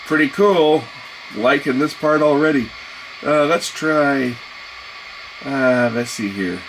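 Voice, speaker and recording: A man's voice: mid-range voice, slow pace, fairly bright tone. A man giving a casual hands-on gadget demonstration, musing aloud as he tries things out. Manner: musing aloud while trying controls. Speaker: product reviewer. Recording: noisy, radio static and ambience. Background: radio noise.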